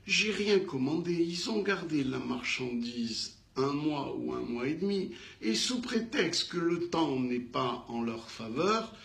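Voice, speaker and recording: A man with a North African French accent telling a business anecdote in an animated way, acting out the dialogue with emphatic repetitions. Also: on the high side, slow, gravelly, few pauses, reverberant. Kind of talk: animated storytelling.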